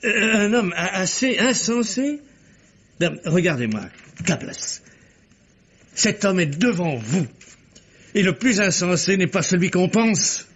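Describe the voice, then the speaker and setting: Lovestruck excitable man, slightly high voice, stammering theatrical delivery, old radio drama, dull muffled recording. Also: takes it slowly, long pauses, husky, heavily acted. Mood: elated. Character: bashful lovestruck man, hesitant yet excitable. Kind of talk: mid-century French radio drama acting.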